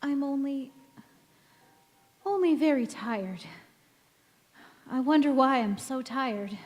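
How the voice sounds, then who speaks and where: High, slow-spoken gentle old wife played by a woman. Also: expressive. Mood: serene, weary. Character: gentle, devoted elderly wife and mother, serenely weary. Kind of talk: stage radio-play acting. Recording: echoey hall, music behind.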